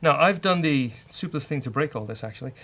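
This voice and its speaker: A man's voice: on the high side, expressive, a little fast, sharp tone, a little hiss or hum. A man co-hosting a coding show, talking casually and hesitantly as he thinks aloud while working. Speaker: co-host coding on a software show.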